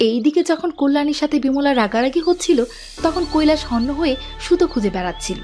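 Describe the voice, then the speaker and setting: A woman's voice: high-pitched, lively intonation, fast talker, over music. A woman reading scripted storybook narration.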